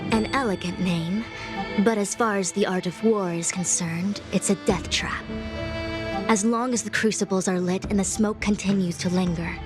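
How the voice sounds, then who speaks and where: An actress playing a composed, authoritative female diviner commander in a game, speaking in a formal, measured, solemn way. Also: mid-range voice, fairly even intonation, close to an everyday voice. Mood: grave, explanatory. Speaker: game character voice actor. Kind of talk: scripted game story dialogue. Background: music.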